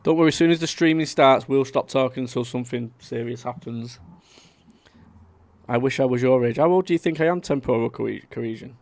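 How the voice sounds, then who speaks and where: A man's voice: medium pitch, brisk, darkish tone. A man, chatting casually to viewers on a live stream and reading out their comments. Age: 30s. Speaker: livestream host.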